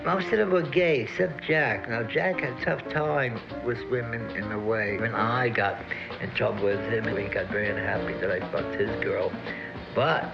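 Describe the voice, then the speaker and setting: A man's voice: lowish voice, normal speed, creaky. A man casually recalling the past in an interview, blunt, rambling and profane. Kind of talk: casual documentary interview recollection. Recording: muffled interview audio, loud music behind.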